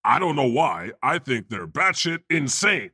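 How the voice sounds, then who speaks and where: A man's voice: medium pitch, very animated, unhurried, bright, forceful tone, husky, no echo, clean studio sound, exaggerated voice. A man playing an irreverent God who hosts a comedy podcast with flippant, profane, teasing banter. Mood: mocking, flippant. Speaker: podcast host.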